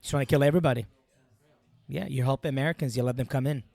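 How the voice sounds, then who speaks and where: A man's voice: medium pitch, fast talker, creaky, a little mellow, no echo. Iraqi-accented man telling his story, dry sound. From Iraq. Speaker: interview guest, military veteran. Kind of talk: personal storytelling.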